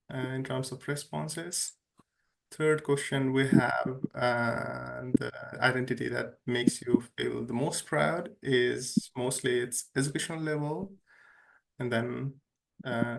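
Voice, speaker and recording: A man's voice: medium pitch, gravelly, low-quality recording. A man on a video call reading out online poll results in a workshop, with many fillers and restarts. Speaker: workshop co-facilitator.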